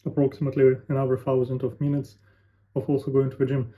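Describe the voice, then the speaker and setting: A man's voice: medium pitch, completely flat delivery. Musing young man, tinny lo-fi sound. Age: 20s. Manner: musing, halting, thinking aloud with fillers. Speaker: vlogger. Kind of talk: unscripted talking to camera.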